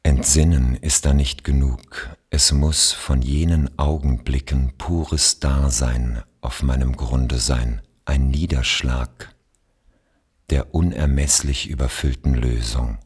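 Poetry reader: man from Austria, rock-bottom bass pitch, very husky and breathy, measured delivery with generous pauses. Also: steady tone, a little mellow. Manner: measured, with long pauses between phrases. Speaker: speaker reading poetry. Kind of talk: slow literary poetry recitation.

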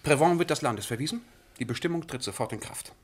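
Pompous prince with animated, highish voice. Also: male voice, quick pace. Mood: stern, imperious. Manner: formal, declamatory speech to his ministers. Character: pompous, peremptory male sovereign of a tiny principality. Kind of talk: satirical radio drama acting.

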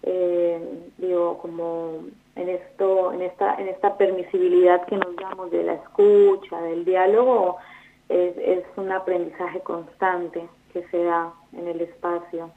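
A woman's voice: on the low side, little pitch movement, unhurried. Young woman on a tinny phone line. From Colombia. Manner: thoughtful, hesitant, many fillers and restarts. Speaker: guest, foundation volunteer. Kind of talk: interview over the phone.

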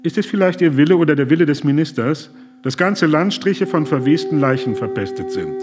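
A man's voice: a little high, big pitch swings, fairly quick, a little mellow, slight background noise. An actor in a radio drama playing an impassioned German humanitarian who pleads earnestly and formally with a man in power. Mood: urgent, indignant.

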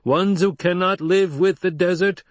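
A man's voice: sits high, fairly even intonation, unhurried, no room sound, pitched above the performer's own voice. A man voicing a hostile, impatient desert tribesman in an audiobook, calling out in insistent protest.

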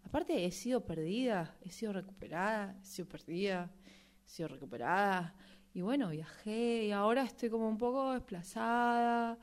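A woman's voice: mid-range voice, moderate pace, lightly acted. A woman with an Argentine accent improvising as a vain, chatty, flirty tango shoe in an affected, slangy drawl. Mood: proud, dramatic. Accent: Argentine Rioplatense. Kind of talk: improvised radio theatre interview in character.

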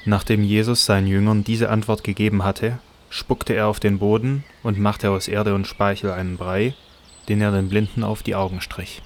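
A man reading scripted scripture narration for an audio drama in a measured, matter-of-fact way. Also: on the low side, flat delivery, slight background noise.

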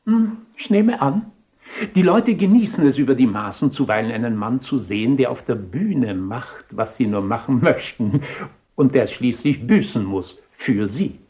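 An actor playing a worldly, eloquent bishop in a radio play, with a courtly, slightly pompous and wry manner. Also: male voice, medium pitch, animated, normal speed, dark tone, lightly acted. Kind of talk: 1970 radio play acting.

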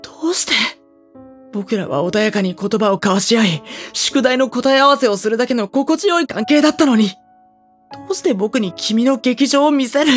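A woman voicing a gentle, introspective, anguished teenage schoolboy in a Japanese audio drama, speaking softly and hesitantly as he narrates. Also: on the high side, moderate pace, faint music behind, lightly acted. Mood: panicked, anguished. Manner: soft-spoken, hesitant, brooding. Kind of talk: Japanese audio drama acting with first-person monologue.

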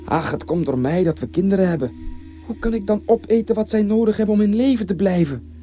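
A man's voice: sits high, a little fast, dark tone, soft background music, pitched above the performer's own voice, lightly acted. A man voicing a sly, pious village hunter and family father in a story, solemn and self-important. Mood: self-sacrificing. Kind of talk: storybook character voice.

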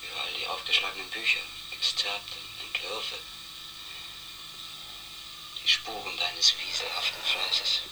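A man playing a haunted, bitter, obsessive former editor in a radio drama, brooding in halting literary monologue that often sinks toward a whisper. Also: deep voice, steady tone, sharp tone, a little hiss or hum, heavily acted, low-quality recording. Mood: bitter, anguished.

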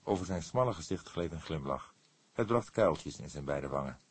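A male narrator reads a scripted detective story. His voice is deep and husky. The recording is very dry, with hardly any room sound. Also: animated, moderate pace, dry recording. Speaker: audiobook narrator.

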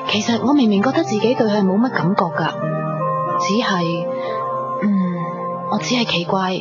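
A woman's voice: medium pitch, moderate pace, lightly acted. A woman playing a shy, lovelorn young shop assistant in a Hong Kong Cantonese radio drama. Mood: wistful. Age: young adult. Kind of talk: 1990s radio drama acting and inner narration. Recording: muffled 1990s radio, loud music behind. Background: music.

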